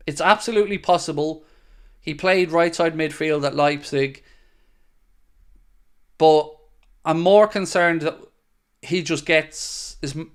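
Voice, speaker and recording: A man's voice: a little high, smooth. A young man hosting a live fan stream, reading out viewer questions and answering in a chatty, upbeat way. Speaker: host of a football fan channel.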